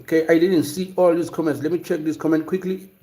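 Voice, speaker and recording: A man from South Africa talks casually on a livestream, often pausing and restarting mid-sentence. His voice sits a little high for a man. The room gives it a noticeable echo. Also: steady tone, warm tone. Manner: hesitant, many fillers and restarts. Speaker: livestream host. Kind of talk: casual livestream commentary reading chat. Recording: roomy echo.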